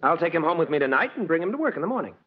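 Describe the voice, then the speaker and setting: A man acting in 1940s radio style as an easygoing, idealistic suitor with a husky voice and a playful, earnest manner. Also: on the high side, sing-song, very fast. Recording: muffled vintage 1940s radio recording.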